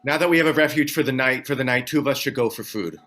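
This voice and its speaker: A man's voice: mid-range voice, fast talker, fairly bright tone, lightly acted. Man acting a worried ordinary man in crisis. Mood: wry. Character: John, weary, worried man surviving a mysterious catastrophe. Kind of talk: table read of a screenplay.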